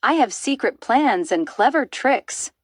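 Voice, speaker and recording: A woman's voice: a little high, expressive, a little slow, no echo, clean studio sound, voice pushed up. A woman voicing an enormous greedy, boastful crocodile in a storybook, gloating and savouring every word.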